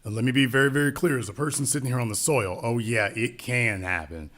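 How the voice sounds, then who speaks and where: A man's voice: mid-range voice, fast, fairly bright tone. A man hosting a live call-in radio show, talking in a blunt, emphatic, slangy way.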